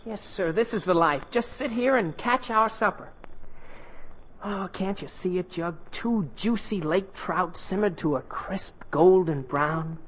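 A man's voice: high voice, unhurried, fairly bright tone. An actor playing a cheery, stubborn American teenage boy, eager and boastful, in 1940s radio comedy style. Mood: dreamy, confident. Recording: noisy old radio recording.

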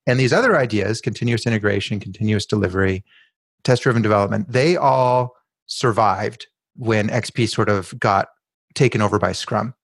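A man appearing as a podcast guest, explaining a software design idea in a measured, thoughtful way. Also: on the low side, dry recording.

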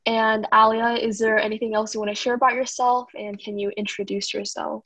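Young woman host with very flat intonation. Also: fairly high pitch, fairly quick, dry recording. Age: young adult. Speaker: podcast co-host. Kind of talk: podcast hosting, casual chat.

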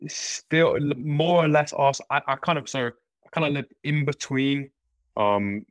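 A man's voice: mid-range voice, steady tone, a little slow, no room sound. A man from London with a London accent, a podcast guest talking casually, with many restarts and fillers. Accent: London English. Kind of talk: casual interview chat.

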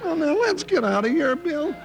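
A very high-pitched cowboy sidekick, folksy, excitable and forever complaining. He is a jittery, food-loving type in an old-time radio western, on a vintage recording with music behind him. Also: moderate pace, gravelly, full character voice. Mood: nervous.

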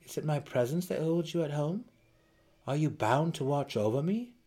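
Man playing an earnest, noble dark elf. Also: a little high, lively intonation, voice pushed up. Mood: probing. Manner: earnest, measured formal fantasy speech.

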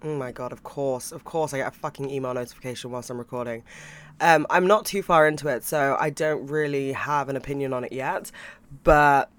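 A young man hosting a podcast, chatting casually about books and TV, swearing freely and laughing now and then, his voice a bit croaky. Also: medium pitch, steady tone, brisk, a bit bright. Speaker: podcast host.

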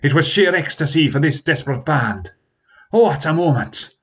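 An old warrior with a Scottish accent recounts a battle, put on by a man reading a story. His lively speech is full of dialect words, and his pitch moves a lot. The voice is a little husky and the recording slightly muffled. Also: moderate pace. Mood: excited. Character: old male Scottish warrior telling a tale.

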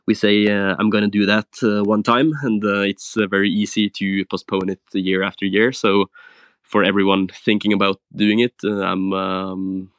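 A man from Norway talking in a live audio room, halting with many filler sounds as he speaks. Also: fairly low pitch, little pitch movement, fairly bright tone, dry recording. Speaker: guest speaker.

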